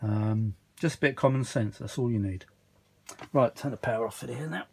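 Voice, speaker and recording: A tired man with a husky voice, chatting casually and a little exasperatedly in a rambling hobby repair vlog, recorded indoors on a handheld camera. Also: medium pitch, fairly quick, noisy background. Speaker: vintage audio hobbyist vlogger. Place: indoors, small home workshop. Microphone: handheld phone or camera behind the lens.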